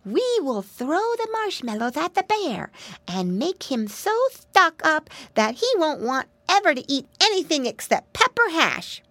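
Lady putting on excitable little piggy boy, storybook, super high and swoopy, eager. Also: measured pace, no room sound, full character voice. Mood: bold. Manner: eager, exclamatory.

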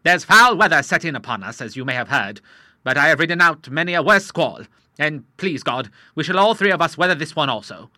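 Brief: loud, bluff old sea captain in his sixties, voiced by a male narrator, bright hearty tone. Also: expressive, brisk, no room sound. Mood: boisterous. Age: 60s. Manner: booming, boisterous, nautical talk.

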